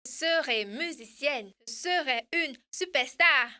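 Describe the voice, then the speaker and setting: A woman's voice: high-pitched, lively intonation, unhurried, bright, forceful tone, heavily acted. A woman voicing a helpful, earnest schoolchild in a children's cartoon. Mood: supportive, hopeful. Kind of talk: French-language children's cartoon dub.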